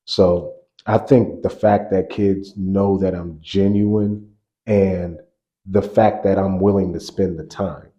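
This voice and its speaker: A man in his forties, a basketball coach, telling stories in a relaxed interview, laughing often and circling back mid-sentence. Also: low-pitched, slow pace, highs cut off. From grew up on a US army base in Germany. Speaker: college basketball assistant coach, guest. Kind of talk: casual interview storytelling.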